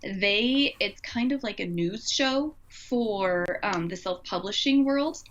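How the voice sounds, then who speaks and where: A woman speaks in a casual, enthusiastic conversational style at a medium pitch. The recording is slightly dull and lo-fi, and she sounds informal throughout. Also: lively intonation, slow, fairly bright tone.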